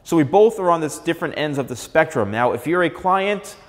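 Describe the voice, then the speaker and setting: A man's voice: fairly high pitch, lively intonation, fairly quick. A man, a hairstylist talking casually to camera, gesturing and saying "all right" often. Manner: conversational, gestures a lot, frequent "all right". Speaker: hairstylist and channel host. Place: large studio room with piano, indoors. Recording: long reverb in a bare room.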